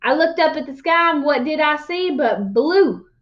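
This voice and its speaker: Old country yarn-spinner, played by a woman: crotchety, emphatic, folksy storybook delivery, high register, lo-fi sound. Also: animated. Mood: folksy, earnest. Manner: folksy, emphatic, drawn-out exaggeration. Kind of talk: storybook read-aloud character voice.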